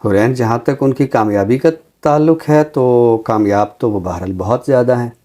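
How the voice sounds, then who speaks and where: Male academic guest, dark mellow timbre, mid pitch, deliberate and explanatory. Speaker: Urdu teacher, programme guest. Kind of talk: considered discussion, explanatory.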